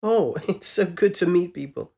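A woman's voice: lowish voice, normal speed, dark tone, slightly rough, pitched above the performer's own voice. A man voicing a bewildered, ancient woman who speaks in eager, faltering bursts. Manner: faltering, uncertain questions.

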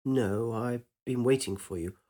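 A man voicing a quiet, fearful young man with a secret, speaking hushed and hesitant in a spoken story. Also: mid-range voice, unhurried, lightly acted.

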